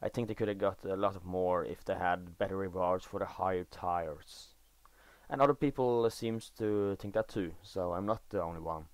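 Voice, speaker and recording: A man's voice: low-pitched, no room sound. Teen boy with a Norwegian accent. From Norway. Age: teenager. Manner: halting, self-correcting, enthusiastic about game details. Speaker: gaming video creator. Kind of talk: casual gameplay commentary. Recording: dry voice-over recorded after gameplay.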